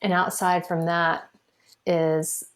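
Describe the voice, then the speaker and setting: Woman, guest on a podcast, casual chat, lower pitch, laughs often, restarts phrases. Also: level tone, slow. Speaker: marketing consultant guest. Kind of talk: casual interview conversation. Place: podcast recording.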